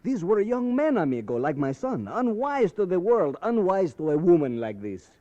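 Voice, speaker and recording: A man playing a proud, desperate middle-aged father with a Mexican accent in a 1950s radio drama, speaking in halting, florid English. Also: high voice, big pitch swings, dark tone, dry recording. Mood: bitter, impassioned. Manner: halting, florid, impassioned foreign-English phrasing. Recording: dry, dull vintage radio recording.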